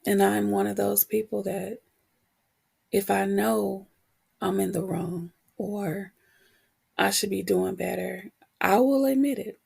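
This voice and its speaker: Woman doing a solo podcast, really creaky voice, getting over a cold, unhurried and candid. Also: mid-range voice, steady tone, darkish tone. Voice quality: husky, cracking, gravelly voice. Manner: candid, unhurried, pausing between short phrases. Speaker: podcast host and motivational coach. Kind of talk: reflective solo podcast talk.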